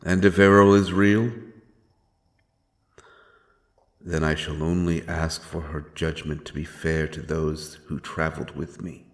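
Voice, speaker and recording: A man playing a grim, doomed, godless avenger in a dark fantasy audio drama, with a brooding, solemn delivery and weighty pauses. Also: rumbling low, full character voice.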